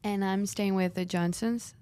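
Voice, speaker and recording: A woman's voice: mid-range voice, steady tone, normal speed, warm tone. A teenage girl from Spain, chatting casually in English as a podcast guest. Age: teens. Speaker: exchange student guest.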